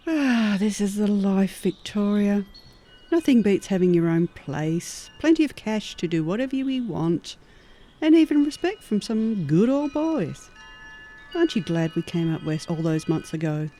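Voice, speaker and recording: Woman acting a mellow, folksy frontier gal. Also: medium pitch, sing-song, unhurried, faint music behind. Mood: upbeat, curious. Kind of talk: audio horror-comedy acting.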